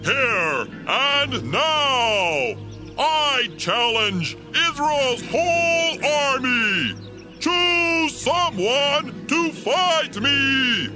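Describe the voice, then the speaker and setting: An actor playing a boastful, menacing giant warrior who shouts slow taunts, in a dramatized audiobook. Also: male voice, extremely high pitch, sing-song, faint music behind, heavily acted. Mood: booming defiance.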